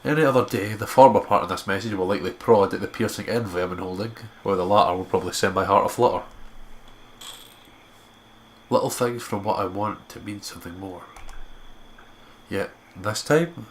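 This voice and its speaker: Low-pitched, stuffy-nosed man reading aloud. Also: fairly quick, pauses often, a little hiss or hum. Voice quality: stuffy, congested nose. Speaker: let's play co-host reading visual novel aloud. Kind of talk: narrating a visual novel with casual commentary.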